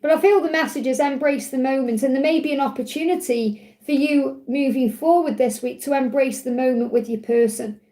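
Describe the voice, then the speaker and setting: A woman giving a live tarot love reading in gentle, repetitive, reassuring guidance. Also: high voice, a little fast, few pauses. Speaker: tarot reader.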